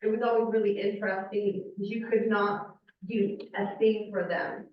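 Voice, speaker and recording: Woman, mid-range pitch, informal audience commenter speaking from experience; muffled, off-mic. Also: flat delivery, moderate pace. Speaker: audience member, former anti-trafficking unit worker. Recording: muffled, echoey room, far from mic.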